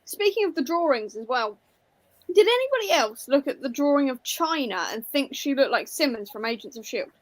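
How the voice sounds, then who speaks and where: A woman's voice: high-pitched, very animated, brisk. A woman chatting casually as part of a book discussion panel. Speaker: readalong panelist.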